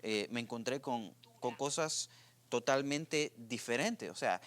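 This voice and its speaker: A man's voice: medium pitch, unhurried, a bit bright. Guatemalan guy hosting a church youth podcast, lots of ums, keeps restarting. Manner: hesitant, many fillers and restarts. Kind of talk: casual faith discussion.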